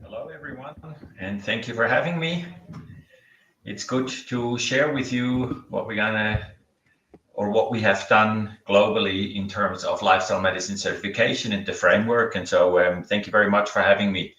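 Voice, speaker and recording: A middle-aged man from Switzerland with a Swiss accent, giving a conference talk over a video call in a relaxed, halting way with many ums and restarts. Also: on the low side, muffled recording, slight background noise. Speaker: keynote speaker. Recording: echoey room, from far off.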